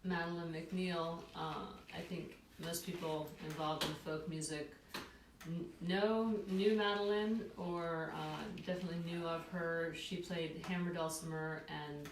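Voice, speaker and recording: A woman with a very low voice talks gently and with feeling, pausing often as she gathers her words. She sounds set back from the microphone in an echoey room, and a steady hiss runs under her speech. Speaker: musician co-host. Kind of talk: casual chat between songs. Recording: roomy, distant mic with noise.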